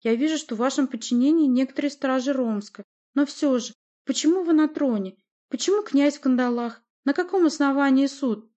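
Woman as a polite, high-voiced, questioning nobleman. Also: animated, normal speed, few pauses, warm tone, natural voice. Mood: timid, questioning. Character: timid but persistent noble boyar man, formal.

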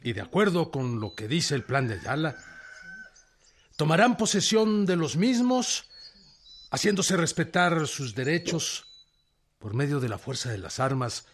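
A solemn, defiant revolutionary leader with a Mexican accent. His fairly high male voice declaims formal proclamations with oratorical emphasis, in radio drama style. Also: big pitch swings, unhurried. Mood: firm, solemn.

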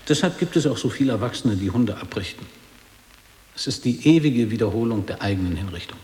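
An actor playing a bitter, disillusioned middle-aged former boarding-school pupil in a literary radio drama, speaking in measured, sententious declamation. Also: male voice, on the low side, lively intonation, darkish tone, natural voice. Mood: bitter, pronouncing. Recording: reverberant echoing hall, noticeable hiss.

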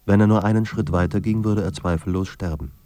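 A man performing as the grave, measured narrator of a despairing writer's life in a literary radio drama. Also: low voice, fairly even intonation, dark tone, no room sound, lightly acted. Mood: somber, restrained.

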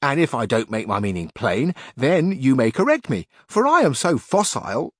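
Man reading as a plain-spoken stubborn peasant squire, earnest, lively pitch. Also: normal speed, no echo, clean studio sound. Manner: earnest, homespun and insistent.

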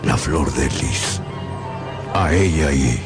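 An actor playing a grim executioner in a radio drama, grave and deliberate. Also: male voice, extremely low pitch, expressive, full character voice. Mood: grave, sombre. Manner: grave, slow, deliberate delivery. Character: grim executioner testifying about his dead brother. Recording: music behind the voice.

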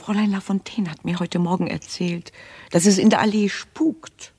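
Gossipy, husky-voiced household servant woman. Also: mid-range voice, steady tone, normal speed, a little mellow. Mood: hushed, gossipy. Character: female household servant, sensible, gossipy, superstitious, kindly chiding. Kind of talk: German radio drama acting.

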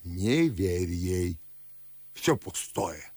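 A fussy, hearty old gentleman in a verse radio play. His grumbling exclamations swoop very widely in pitch at a slow, deliberate pace, in a very dry studio. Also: wildly swooping pitch, no room sound, heavily acted. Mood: suspicious, fussy. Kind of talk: Russian classical verse radio drama acting.

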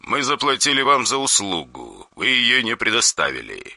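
A man doing a hushed, icy phone threat. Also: mid-range voice, normal speed, creaky, no echo, clean studio sound, voice pushed up, exaggerated voice. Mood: cold menace. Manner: flat, quiet, threatening. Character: cold menacing male caller, hard and dry.

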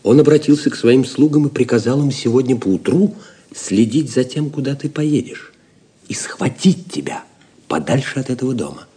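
A man's voice: medium pitch, animated, moderate pace, dark tone, breathy edge. A man playing a resolute, secretive young pilgrim in an audio drama, earnest and commanding in manner. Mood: urgent, warning.

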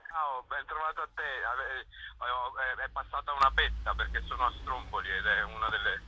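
A man's voice: medium pitch, fairly even intonation, moderate pace, pushed and bright, slight background noise, rough recording. A man speaking informally in a radio interview over the phone, searching for his words as he explains. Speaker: festival co-director being interviewed.

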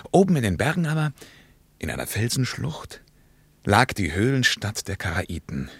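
An actor playing a middle-aged former war courier in a radio drama, vividly recalling exotic memories and pausing to search them. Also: male voice, on the low side, big pitch swings, a bit bright, husky, no room sound, full character voice. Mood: rapt, reminiscing.

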